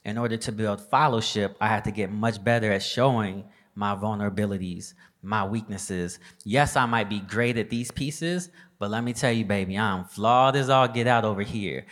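A man's voice: fairly low pitch, normal speed, few pauses, fairly bright tone. A man in his thirties speaking as a panelist, reflective and emphatic in a conversational way. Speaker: panelist, business leader. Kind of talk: live panel discussion.

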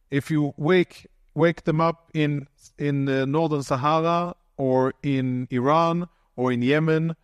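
A man answering an audience question at length in a measured, halting way, restarting and repeating words. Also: mid-range voice, no room sound, clean studio sound. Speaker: panel speaker on security.

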